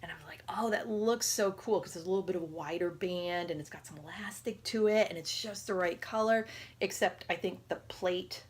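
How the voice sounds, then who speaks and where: A woman around forty with a raspy voice, talking casually and haltingly in a personal health vlog. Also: on the low side. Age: 30s or 40s. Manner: halting, rambling, conversational. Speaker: patient video diarist.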